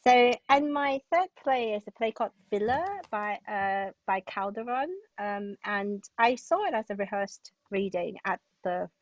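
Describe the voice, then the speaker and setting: A woman's voice: medium pitch, expressive, a little slow, hardly any pauses, dry recording. A woman from Hong Kong chatting enthusiastically about plays as a guest playwright, digressing and peppering her talk with "like" and "you know". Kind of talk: casual literary discussion. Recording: distant, dull lo-fi sound.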